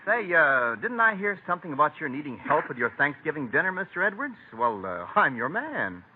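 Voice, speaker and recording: An actor playing an eager, cheery commercial pitchman in an old-time radio sponsor dialogue. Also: very animated, a little fast, a little hiss or hum. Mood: eager, selling. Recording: narrow-band old radio recording.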